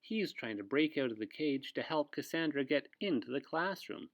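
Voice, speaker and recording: A man's voice: medium pitch, animated, normal speed. A man who works as a teacher reads a picture book aloud to young children, with asides to his listeners.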